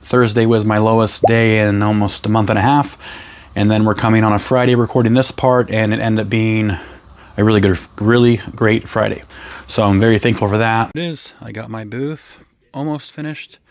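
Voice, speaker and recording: A man with a hoarse, raspy voice, casually chatting through a reseller vlog, rambling with lots of ums and self-corrections. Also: lowish voice, steady tone, moderate pace. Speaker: reseller vlogger. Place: his resale booth and home workspace.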